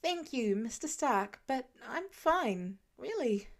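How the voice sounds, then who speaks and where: A woman's voice: sits high, sing-song, slow pace, heavily acted. A woman voicing a frightened, defensive teenage boy who stammers and snaps with bitter sarcasm. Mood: panicked. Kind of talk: audiobook fan reading.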